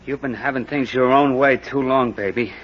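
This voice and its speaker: An actor playing a shifty, henpecked crooked husband in an old-time radio drama, evasive and prone to stammering. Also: male voice, medium pitch, normal speed, fairly bright tone, natural voice. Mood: bitter menace. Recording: dull old radio recording, faint noise.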